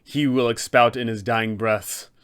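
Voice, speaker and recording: A man's voice: medium pitch, fairly even intonation, unhurried, smooth. The kind of man heard hosting an online tabletop roleplaying guide, reading scripted advice to game masters in a mid-range voice.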